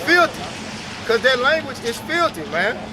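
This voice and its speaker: A man's voice: very high-pitched, big pitch swings, moderate pace. A man street preaching, firing off rhetorical questions and urging his listeners. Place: outdoors on a city street. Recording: outdoor street recording with traffic noise.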